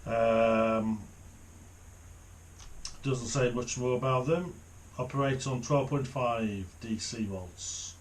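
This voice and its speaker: A middle-aged man giving casual unboxing commentary, thinking aloud in an unhurried way as he handles things at a desk in a small room. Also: mid-range voice, fairly even intonation, slight background noise. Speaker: hobbyist reviewer. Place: small home office, indoors.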